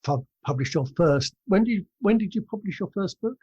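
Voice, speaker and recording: A man's voice: sits high, lively intonation, brisk, warm tone, slightly rough. A man hosting a podcast, asking questions in a casual interview style. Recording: dull, lo-fi audio.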